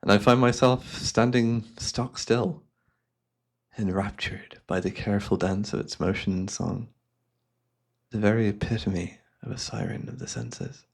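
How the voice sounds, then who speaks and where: A man playing a devious, cultured hypnotist and collector in a fantasy ASMR roleplay, speaking in florid, theatrical phrases with a softly coaxing manner. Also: on the low side, steady tone, unhurried, gravelly, slightly rough, heavily acted. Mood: proud, musing.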